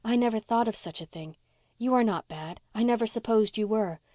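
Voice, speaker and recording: A woman voicing a gentle, frank and affectionate woman in a storybook, speaking softly and tenderly as if to a child. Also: fairly high pitch, a little fast, dry recording, clean studio sound, lightly acted. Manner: soft, tender, sincere. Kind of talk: audiobook character voice.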